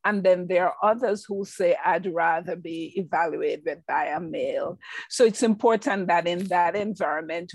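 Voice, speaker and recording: A middle-aged woman with a strong Jamaican accent speaks as a clinician in an interview. Her voice sits fairly low and she talks in a calm, measured, reassuring way. The recording is dry, with little room sound. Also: vocal fry, no room sound. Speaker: clinician and researcher. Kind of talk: interview answer.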